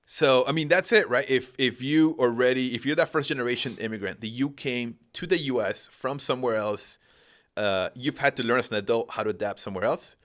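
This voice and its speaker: A man's voice: mid-range voice, fairly quick, fairly bright tone. A man in his thirties, originally from Peru, explaining patiently in a casual conversation with frequent rhetorical questions.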